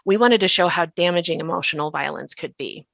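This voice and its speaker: A woman's voice: lowish voice, brisk, creaky, a bit bright. A woman in a reflective conversation, explaining her thoughts carefully and repeating points for emphasis. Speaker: podcast creator and co-host. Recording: slightly muffled, roomy sound.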